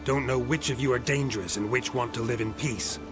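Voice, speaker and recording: An actor playing a stoic, weathered monster hunter in a game, terse and deadpan. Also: male voice, mid-range voice, a little fast, close to an everyday voice. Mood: calm, firm. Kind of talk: video game cutscene acting. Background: loud music.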